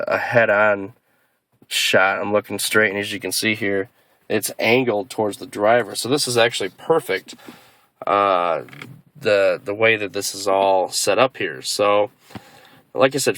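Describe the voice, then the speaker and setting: A man's voice: lowish voice, steady tone, measured pace, fairly bright tone. A man giving a casual, unscripted video walkthrough as a hobbyist, explaining in a hesitant, rambling way. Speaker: car hobbyist presenting a DIY install.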